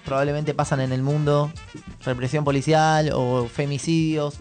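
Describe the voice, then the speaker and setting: A man hosting a radio interview, asking questions in a relaxed way and backing the guest with short replies. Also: on the high side, moderate pace, faint music behind. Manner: relaxed, fills in with short "claro" and "ujú". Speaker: radio host.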